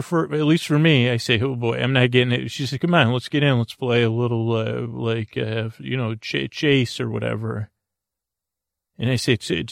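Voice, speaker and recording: Middle-aged male podcast host, gravelly voice, dry close sound, rambling and unhurried. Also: mid-range voice, no echo. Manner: meandering, self-interrupting, soft-spoken. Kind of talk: rambling bedtime podcast intro.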